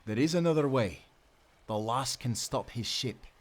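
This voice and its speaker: An actor playing a confident, loyal Scottish sailor who talks in jaunty nautical dialect in an audio adventure. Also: male voice, medium pitch, expressive, moderate pace, lightly acted. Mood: earnest, planning. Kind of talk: cinematic audio adventure acting.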